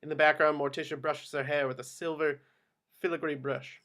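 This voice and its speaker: Male stage-directions narrator, fairly high voice, scripted screenplay read. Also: flat delivery, a little fast, pushed and bright.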